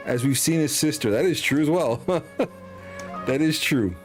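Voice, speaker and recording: A man's voice: on the high side, normal speed, soft background music. Casual, joking male game streamer. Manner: joking, informal. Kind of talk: casual chat and commentary over gameplay.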